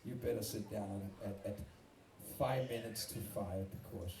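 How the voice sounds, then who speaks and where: A man's voice: fairly low pitch, fairly even intonation, unhurried, creaky, darkish tone. A man with a Danish accent, a rock singer rambling and swearing as he tells a story between songs at a live club show. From Denmark. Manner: rambling, swearing, self-mocking. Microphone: stage microphone through PA. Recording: roomy live club sound from far off.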